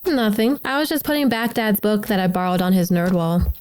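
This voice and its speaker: A woman playing a bookish, sarcastic teenage schoolgirl in an audio drama, with slangy, expressive delivery. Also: mid-range voice, brisk, clear, resonant tone, natural voice. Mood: casual.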